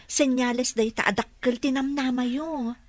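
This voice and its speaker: High-voiced Ilocano woman therapist. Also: steady tone, moderate pace. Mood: gently encouraging. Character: respectful female therapist, encouraging and devout. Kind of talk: Ilocano radio drama acting. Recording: music behind the voice.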